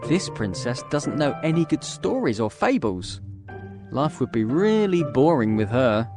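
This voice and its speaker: A man's voice: medium pitch, big pitch swings, measured pace. A man playing a picky, lovelorn young prince in a children's story, with a fussy, complaining way of talking. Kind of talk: scripted children's story dialogue. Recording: prominent music behind the voice. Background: music.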